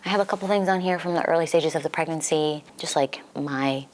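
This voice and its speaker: Low-voiced young woman vlogger, slightly congested. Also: brisk, a little mellow, a little hiss or hum. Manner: informal, giggly, peppered with "like". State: recovering from flu, slightly congested. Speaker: book vlogger. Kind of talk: casual chatty life-update vlog.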